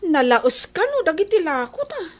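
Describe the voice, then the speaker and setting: A woman's voice: extremely high pitch, sing-song, moderate pace. A woman playing a scolding market fish-vendor wife in an Ilocano comedy radio drama, with an Ilocano accent. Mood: resentful. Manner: scolding, loud vendor calls. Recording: noisy mix with faint crowd behind.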